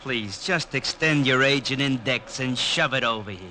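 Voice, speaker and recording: An actor playing a hard, exasperated thug who talks in pompous, stilted big words in an old-time radio crime drama. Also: male voice, mid-range voice, moderate pace, bright, forceful tone, slight background noise, close to an everyday voice. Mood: smoothly menacing. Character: hard-looking male thug, pretentiously wordy, exasperated gang boss.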